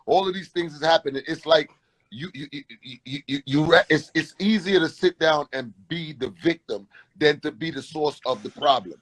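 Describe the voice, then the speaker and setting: A man's voice: on the high side, fairly bright tone. A man hosting a panel discussion, rambling through restarts and rhetorical questions.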